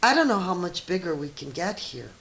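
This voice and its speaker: A woman reading a children's story aloud as a teacher, expressive and encouraging, with teaching asides and questions for young listeners. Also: fairly low pitch, fairly quick, a little hiss or hum.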